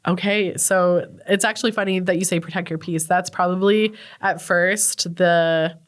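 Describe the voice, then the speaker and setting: A woman's voice: lowish voice, steady tone, moderate pace. A Canadian woman in her thirties, a podcast guest chatting casually, laughing often and using lots of fillers. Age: 30s. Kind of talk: casual interview chat.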